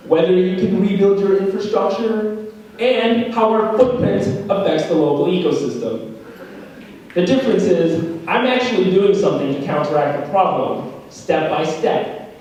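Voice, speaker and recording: Young man performing slam poetry, very echoey. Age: young adult. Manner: dramatic, swinging from loud shouts to low hushed lines. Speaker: slam poet. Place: school room. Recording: very echoey room, voice from far off.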